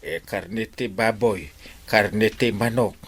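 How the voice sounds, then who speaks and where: A man's voice: on the low side, takes it slowly, vocal fry, fairly bright tone, husky, heavily acted. A man playing a whiny, stammering village captain who malingers, in a comedy radio drama. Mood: whiny, pleading. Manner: whiny, hesitant stammering, exclamatory.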